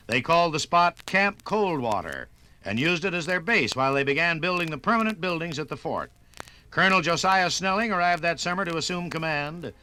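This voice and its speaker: A man's voice: fairly high pitch, bright, forceful tone, low-quality recording. A man narrating and announcing in a polished, scripted 1950s radio style.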